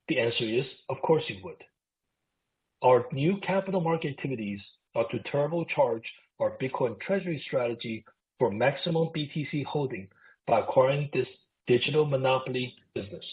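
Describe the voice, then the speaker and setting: A man speaking as a company executive, formally reading prepared remarks in a scripted earnings presentation on a conference call. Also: medium pitch. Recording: phone-like narrowband conference call audio.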